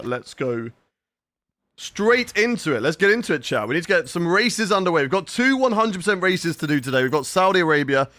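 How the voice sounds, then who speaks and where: A man's voice: fairly high pitch, bright, forceful tone, no echo. A young man streaming a racing game, chatting with his viewers and answering their questions as he plays. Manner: chatty, reads and answers chat while playing. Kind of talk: live gaming stream chat.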